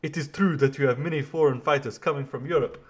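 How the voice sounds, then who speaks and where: A man's voice: mid-range voice, fast, breathy edge, voice pushed down, natural voice. A man putting on a poor French accent to play a stiff, earnest male leader. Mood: mock-serious.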